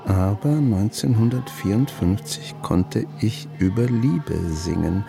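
Gravelly, grumpy old grandfather. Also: fairly low pitch, lively intonation, slow pace, music in the background, exaggerated voice. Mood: dry, dismissive. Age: 70s. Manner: dry, disdainful, impatient. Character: grumpy, cynical old grandfather, former songwriter. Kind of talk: German radio drama acting.

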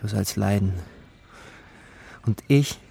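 An actor playing a bitter, dreamy poor youth, narrating in a brooding, intimate, gravelly way in a literary radio drama. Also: male voice, fairly low pitch, slow pace, warm tone, exaggerated voice. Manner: brooding, intimate, sometimes whispering.

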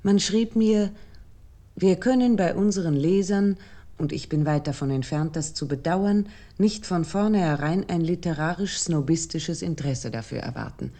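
A thoughtful essayist in a radio play, with a low-pitched voice and a dark, soft tone. She reads scripted literary prose formally and deliberately, setting out each thought with measured seriousness. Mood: measured, serious. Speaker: narrator.

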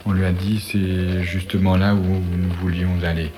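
A man tells a reflective story in short, halting fragments. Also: low-pitched, flat delivery, dark tone, a little hiss or hum. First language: French. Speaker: composer telling his story. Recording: music playing behind the voice. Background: music.

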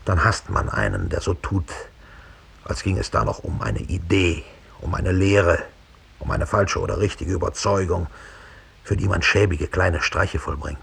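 Hateful former Nazi murderer, deep creaky voice, disdainful confession, deliberate delivery, German radio drama. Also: male voice, a bit bright, heavily acted. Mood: proud contempt. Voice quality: gravelly, husky.

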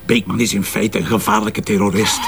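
An actor playing a playful, mock-theatrical police commissioner in a radio drama. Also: male voice, on the low side, level tone, fairly quick, gravelly, fairly bright tone, slight background noise. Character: jokey police commissioner, adult man.

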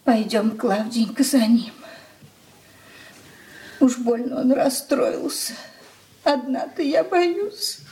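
A woman's voice: a little high, expressive, takes it slowly, long pauses, mellow, slight background noise. An actress playing a timid, anxious old mother pleading in a Soviet radio drama. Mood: pleading, frightened.

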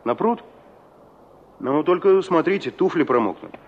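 Young village fella in old soviet radio play, down to earth, bit wistful, muffled recording. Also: male voice, a little high, expressive, a little slow, a little hiss or hum. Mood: matter-of-fact. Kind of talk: Soviet radio drama acting.

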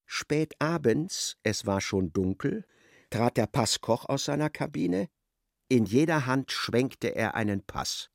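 An actor narrating a darkly comic literary radio play as an observant storyteller. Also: male voice, medium pitch, animated, slow pace, creaky, dry recording, studio quality, heavily acted. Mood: wry narration.

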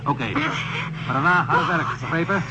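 An actor playing a forceful spaceship commander in a radio drama, urgently ordering and steadying a frightened crew. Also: male voice, on the high side, normal speed. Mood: urgent, firm. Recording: very noisy radio drama cabin scene.

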